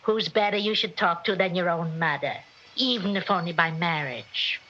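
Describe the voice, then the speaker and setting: A woman's voice: lowish voice, moderate pace, sharp tone. A woman playing a meddling, talkative older mother-in-law with a New York accent in a 1960s radio drama, kvetching in rhetorical questions. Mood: coaxing, bossy. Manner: kvetching, rhetorical questions, inverted Yiddish-style syntax. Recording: muffled, hissy old radio recording.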